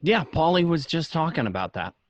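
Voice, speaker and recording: A man's voice: fairly high pitch, very animated, a little fast, no echo. A man acting a casual, streetwise gangster who narrates his own life in an amateur radio crime drama. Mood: uneasy. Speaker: actor in an online radio play. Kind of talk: amateur script reading, first-person crime drama. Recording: dull lo-fi home connection.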